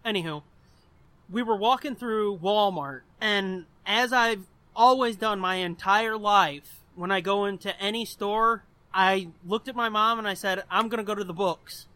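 A young American man with a notably high, bright voice, recorded close and dry. He hosts a podcast and talks informally, swearing freely as he rambles through personal anecdotes. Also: unhurried, dry recording. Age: 20s. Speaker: podcast host. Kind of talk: casual chat, telling personal anecdotes.

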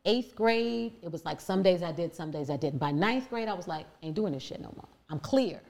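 Deep-voiced woman telling her story emphatically. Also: fairly quick. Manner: emphatic, animated, with frequent fillers and restarts. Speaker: guest. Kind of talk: candid personal storytelling.

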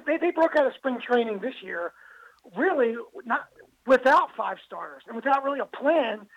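A man's voice: extremely high pitch, lively intonation, normal speed, phone-quality sound. A man co-hosting a sports radio show, discussing baseball casually and emphatically, often repeating his phrases. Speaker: sports radio co-host and reporter.

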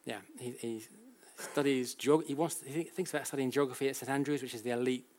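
Male British lecturer, mid-range voice, informal explainer style, halting delivery with self-corrections and rhetorical questions. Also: medium pitch, fairly even intonation, a little mellow, slight background noise. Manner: conversational, checks in with rhetorical questions. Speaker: academic lecturer. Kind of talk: public lecture, explanatory and anecdotal.

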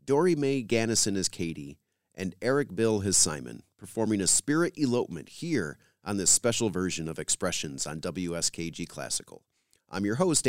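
A man's voice: on the low side, dry recording, studio quality. A man hosting a radio program, reading scripted introductions and credits as a presenter.

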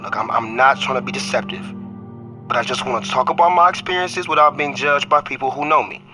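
A guarded young pro football player played by a male actor tells his story as audio drama narration. He speaks at a middle pitch in a hesitant, candid, conversational way, as if confiding in the listener. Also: natural voice. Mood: guarded, earnest.

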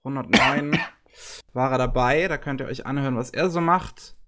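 A man's voice: mid-range voice, moderate pace, a bit bright. Laughing, stumbling podcast host man. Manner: laughs often, stumbles over words. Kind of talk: casual podcast chat.

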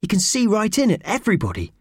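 A man voicing a small, eager talking mouse in a children's storybook, excitable and earnest as it chatters. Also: high voice, fast talker, slightly rough, no echo, clean studio sound, pitched above the performer's own voice, heavily acted. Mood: excited, curious.

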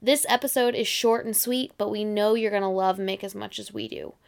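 A woman hosts an interview podcast. Her voice has a gravelly fry at an ordinary pitch for a woman. She speaks conversationally, introducing the show and putting questions to a guest. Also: steady tone, brisk. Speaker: podcast host.

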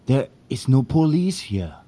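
Mellow, deadpan German immigrant man. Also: medium pitch, unhurried. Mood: dry, unbothered. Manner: dry, deadpan, terse. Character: weary, wry, stolid immigrant carriage repairman and drinker. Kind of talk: audio drama acting.

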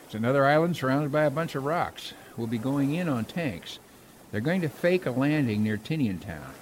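A man playing a brisk, gruff Marine sergeant giving orders in a World War Two radio drama. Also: mid-range voice, animated, a little hiss or hum, close to an everyday voice. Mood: matter-of-fact.

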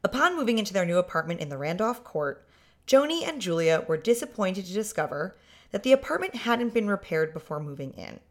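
A woman's voice: medium pitch, very animated, brisk. A woman hosting a podcast, narrating a story in a dry, sardonic way.

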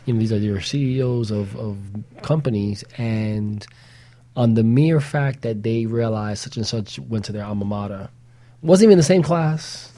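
A man hosting a conversational talk show, speaking haltingly and circling back to rephrase his points. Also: lowish voice, dark tone. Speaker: host.

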